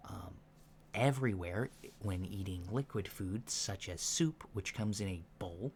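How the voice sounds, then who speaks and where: A soft-spoken man giving gentle, measured instructions in an ASMR roleplay, pausing often mid-sentence. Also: fairly low pitch. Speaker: ASMR roleplay performer playing a training instructor. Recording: lo-fi with faint background noise.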